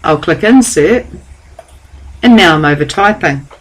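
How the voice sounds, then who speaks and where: A woman giving a calm, step-by-step software tutorial over a screen recording. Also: fairly low pitch, measured pace, slight background noise. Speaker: software trainer.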